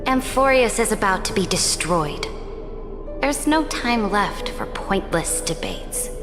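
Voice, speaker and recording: A woman's voice: medium pitch, animated, takes it slowly. A woman playing a proud, fiercely resolute conquering empress in a video game, speaking in grandiose, commanding oratory. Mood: grave, determined. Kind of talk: dramatic video game voice acting. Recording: long hall reverb under game music.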